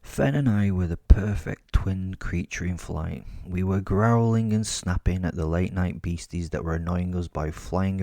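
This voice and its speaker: Deep, cheeky werewolf storyteller played by a man. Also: creaky, mellow, no room sound, clean studio sound. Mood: wry storytelling. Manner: conversational, wry, casually sweary. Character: bored, cheeky, foul-mouthed male werewolf recounting his hunt. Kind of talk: first-person dark comedy audio drama narration.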